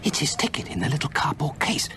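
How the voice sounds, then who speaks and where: Anxious British gentleman, swinging into hushed mutters. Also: on the high side, sing-song, fast talker. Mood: tense, furtive. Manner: nervous, hesitant, muttering thoughts in hushed asides. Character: anxious, hunted gentleman steeling himself in secret. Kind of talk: British radio drama acting. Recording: radio drama with clear background noise.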